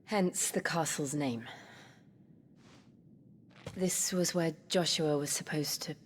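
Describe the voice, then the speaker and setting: A woman voicing a gentle, watchful young noblewoman in a video game, with a soft, earnest delivery. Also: low voice, slow pace, slight background noise. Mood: curious. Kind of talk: video game cutscene voice acting.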